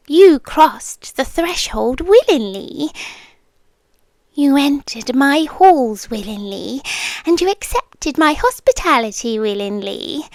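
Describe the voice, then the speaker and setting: A woman's voice: high voice, no echo, exaggerated voice. A British woman acting out a possessive, ancient supernatural girlfriend in an ASMR roleplay, teasing and smug, with intimate, menacing delivery that often drops toward a whisper. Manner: slow, intimate, taunting, often dropping to a whisper.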